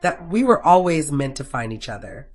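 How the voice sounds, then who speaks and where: A narrator voicing a warm, ambitious young woman in love in a romance story. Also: mid-range voice, big pitch swings, normal speed, close to an everyday voice. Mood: dreamy.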